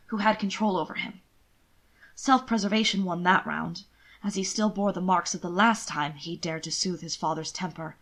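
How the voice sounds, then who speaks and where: A woman's voice: fairly low pitch, fairly bright tone. Woman reading a story expressively. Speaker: amateur fan fiction narrator. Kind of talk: expressive scripted story narration.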